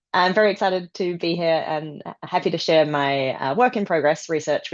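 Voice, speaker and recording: Young Australian woman, low-pitched, friendly presenter; dull video call sound. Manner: friendly, upbeat, frequent ums. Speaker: PhD candidate presenting research. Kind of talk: webinar presentation.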